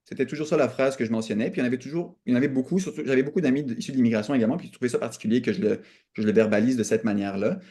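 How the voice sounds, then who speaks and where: Mellow young man, Quebec French accent. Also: medium pitch, rapid-fire. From Canada. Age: young adult. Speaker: podcast guest. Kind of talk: reflective conversational interview.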